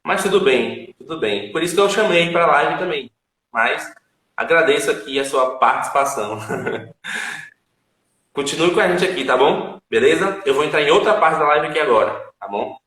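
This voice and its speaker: A fairly high-voiced man hosts a home live stream. He talks in a casual, emphatic teaching way, often checking in with his listeners. His voice rings through a very echoey room. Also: lively intonation, moderate pace, fairly bright tone. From Salvador, Bahia, Brazil. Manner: chatty, repeats tag questions, emphatic. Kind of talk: casual teaching chat with viewers.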